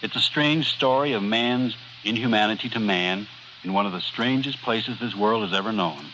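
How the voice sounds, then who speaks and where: Man, 1940s radio dramatist-host. Low, slightly halting, earnest, with hiss from an old broadcast. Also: sharp tone. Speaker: radio dramatist presenting his show. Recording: muffled, noisy old radio broadcast recording.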